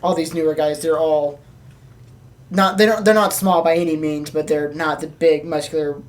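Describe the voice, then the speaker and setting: Low, flat-toned woman chatting. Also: moderate pace. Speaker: podcast co-host. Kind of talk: casual chat.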